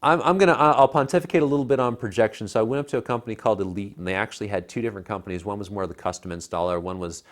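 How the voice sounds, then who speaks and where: A man's voice: on the low side, racing, few pauses. An American man in his thirties co-hosting a tech show, explaining gear in a casual, conversational way. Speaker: tech show co-host reviewing home theater gear. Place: living room at home, indoors. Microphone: lapel mic.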